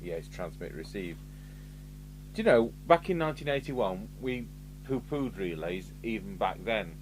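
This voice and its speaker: A man's voice: on the low side, lively intonation, normal speed. A man with an English accent giving a casual, rambling workbench commentary as he thinks aloud, like a radio repair technician. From United Kingdom. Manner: rambling, musing aloud to himself. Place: indoor electronics repair workbench. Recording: clear background noise with electrical hum.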